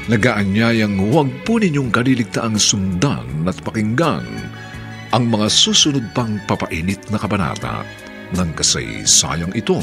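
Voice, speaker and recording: A man with a Filipino accent narrating and announcing a radio drama in a theatrical, deliberate style. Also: lowish voice. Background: music.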